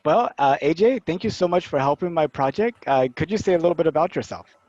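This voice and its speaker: A man's voice: fairly high pitch, expressive, quick pace, a little mellow, no room sound. A man interviewing a guest in a friendly, joking way, with hesitant starts. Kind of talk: casual video-call interview. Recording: dry, dull lo-fi audio.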